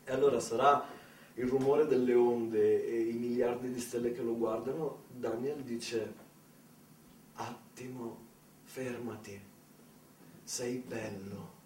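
A man performing a dramatic theatrical storytelling monologue, emphatic, with deliberate pauses and repetitions. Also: medium pitch, fairly even intonation, a little mellow. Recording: roomy, distant mic, faint background noise.

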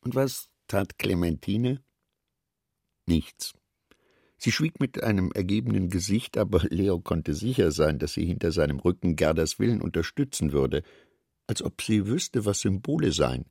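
A man narrates a literary radio play from a script. His voice is husky and gravelly, recorded close and dry. He reads long sentences in a measured way, with lively, expressive intonation. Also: sits low, no room sound.

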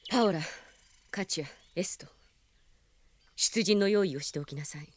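An actress playing a proud, honorable young princess commander in a radio drama, with stern, formal, knightly speech. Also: female voice, fairly low pitch, normal speed, lightly acted. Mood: subdued, commanding.

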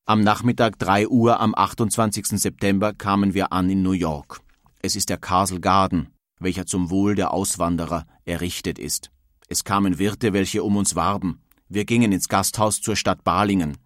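A man's voice: sits low, fairly even intonation, normal speed, fairly bright tone, no room sound, clean studio sound. An actor playing a nineteenth-century male emigrant, reading his letter home in a wondering, narrative way. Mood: recounting. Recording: music faintly underneath.

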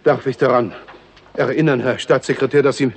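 An officious government agent in a 1960s radio drama. His voice sits at a medium pitch, formal yet exasperated, grudging and insistent. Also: fairly even intonation, a little fast, husky. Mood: flustered, insistent. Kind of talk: 1960s German radio play acting.

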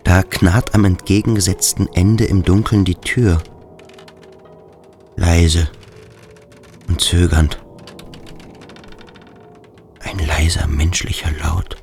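Very deep, brooding male reader. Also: level tone, slow, long pauses, a little mellow, soft background music. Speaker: second narrator voicing inner thoughts. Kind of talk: scripted literary radio drama narration.

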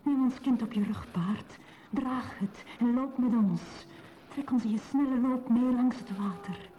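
A woman's voice: fairly high pitch, a little slow, warm tone, a little hiss or hum, natural voice. An actress playing a grave, dreamy woman in a poetic radio play, speaking solemnly and lyrically in a dull lo-fi recording. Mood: solemn, imploring.

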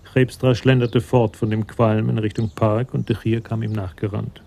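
An actor serving as the composed narrator of a German radio crime drama, reading scripted prose. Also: male voice, lowish voice, level tone, normal speed, vocal fry, mellow. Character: composed storyteller of a police tale.

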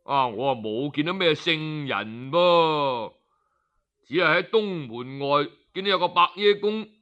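A man with a Cantonese accent voicing a chatty, casual passer-by in a story, rapid and offhand, full of exclamations. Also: on the high side, lively intonation, bright, forceful tone. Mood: offhand, puzzled. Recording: slightly dull, lo-fi audio.

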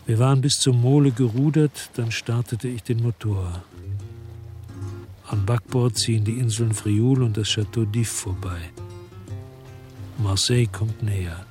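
A world-weary former cop , acted by a man, tells his own story in a radio crime drama. His voice is on the low side, his phrases terse and literary, his temper brooding and reflective. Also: fairly even intonation, a little slow, long pauses, darkish tone, faint music behind, natural voice. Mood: quiet, contemplative. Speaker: lead actor and narrator of a crime radio drama. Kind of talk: first-person radio drama narration.

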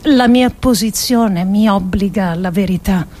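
An actress playing an earnest, resolute woman politician who delivers a solemn theatrical speech with measured, emphatic phrasing. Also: mid-range voice, warm tone, natural voice. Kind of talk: theatrical monologue, solemn oratory.

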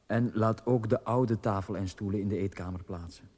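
A man's voice: lowish voice, moderate pace, a little mellow, husky. A Flemish man acting in a radio drama as a formal, restrained, dignified middle-aged lord and father. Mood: subdued, courteous. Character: dignified, protective lord, father of a grown son. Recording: long hall reverb.

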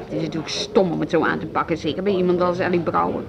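An actress playing a weary, harried music school director in conversational, naturalistic radio drama dialogue. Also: female voice, on the low side, steady tone, quick pace, creaky, a crowd in the background. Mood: exasperated. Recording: slightly dull radio drama recording.

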